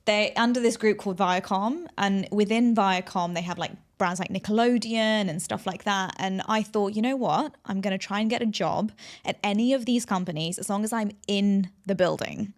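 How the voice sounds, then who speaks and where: A woman with a medium-pitched voice shares personal stories as a guest in an interview. Her delivery is conversational and earnest. She stresses her points with a motivational push. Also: normal speed. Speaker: interview guest, aspiring TV presenter telling career story.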